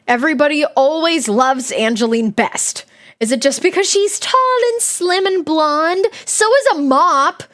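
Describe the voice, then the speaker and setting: A woman's voice: sits high, fairly bright tone. A woman voicing a sarcastic, jealous middle school girl reading out her diary in a dramatic, snarky way.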